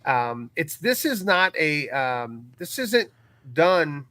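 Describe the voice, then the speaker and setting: A man as a guest in a casual live recap chat, thinking aloud in a halting way and repeating words. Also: a little high, sing-song, bright, forceful tone, no echo.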